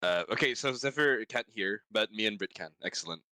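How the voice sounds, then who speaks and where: A man with a bright, forward voice and lively swings in pitch. He jokes casually as a co-streamer, in a dry, close sound. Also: a little fast, no echo. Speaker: co-streamer on a visual novel stream. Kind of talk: casual joking chat.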